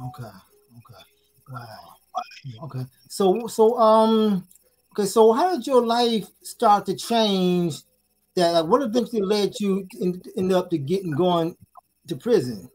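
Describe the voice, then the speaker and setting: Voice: medium pitch, lively intonation, unhurried, darkish tone. An interviewer asking casual questions and repeating words while forming them.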